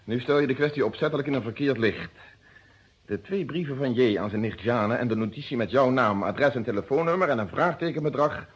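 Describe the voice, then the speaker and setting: An actor playing a methodical police inspector in a vintage radio play, with formal, precise phrasing and a Netherlands Dutch accent. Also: male voice, medium pitch, fairly quick, slightly rough, natural voice. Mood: firm, measured. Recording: dull, muffled old radio recording.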